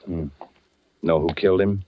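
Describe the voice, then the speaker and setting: Man playing low-voiced, curious film-maker. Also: steady tone, normal speed, lightly acted. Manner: hesitant, casual probing. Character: curious, polite male film-maker, casually persistent. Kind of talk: 1940s radio drama acting. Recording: dull, lo-fi 1940s radio broadcast.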